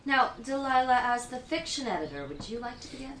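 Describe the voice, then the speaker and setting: Actress as a high, animated, flustered chairwoman. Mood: diplomatic, uneasy. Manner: halting, managerial, throat-clearing. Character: flustered, officious woman chairing an editors' meeting. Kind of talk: satirical radio play dialogue. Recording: distant roomy echo, faint noise.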